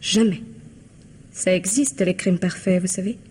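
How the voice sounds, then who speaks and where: A woman's voice: lowish voice, a little slow, darkish tone, slightly rough. An actress playing a cold, bitter, defiant young lady in a French radio mystery, with curt, taunting delivery.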